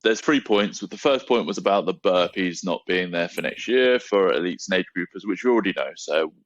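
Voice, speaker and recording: A young man co-hosting a casual sport podcast, relaxed and chuckling, with plenty of ums. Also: deep voice, dry recording, lo-fi sound. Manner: relaxed, chuckles often, fills pauses with ums. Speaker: podcast co-host.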